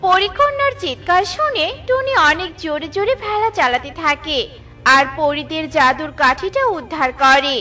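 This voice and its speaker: A woman's voice: sits high, moderate pace, sharp tone, faint music behind. A woman narrating a scripted children's story.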